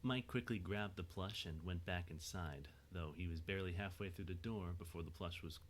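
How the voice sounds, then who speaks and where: A low-voiced man narrates a story from a script. He reads as the narrator of an audio drama, with faint noise in the recording. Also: moderate pace.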